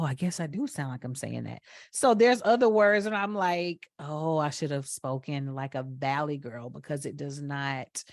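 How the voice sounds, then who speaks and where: A woman's voice: lowish voice, a little mellow, dry recording, clean studio sound. A woman from Atlanta with a Southern accent, co-hosting a podcast in casual chat, playful and laughing as she explains with examples. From Atlanta, USA. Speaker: podcast co-host.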